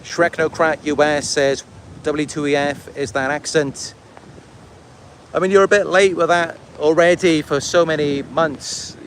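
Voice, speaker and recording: Male livestreamer, Welsh accent, voice a bit on the high side, loose rambling delivery, light street noise behind. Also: a bit bright. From Wales. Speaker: livestream host and content creator. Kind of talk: casual livestream monologue, answering viewer comments.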